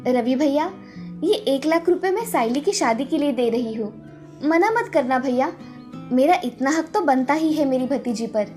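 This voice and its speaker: A woman's voice: sits high, lively intonation, normal speed, darkish tone, faint music behind. A woman playing a kind, affectionate, generous aunt in a cartoon story.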